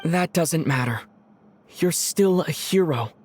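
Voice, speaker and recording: A man voicing a gentle young adventurer in a video game, speaking with sincerity. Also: fairly high pitch, lively intonation, takes it slowly, a little hiss or hum. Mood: sincere gratitude. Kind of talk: scripted game voice acting.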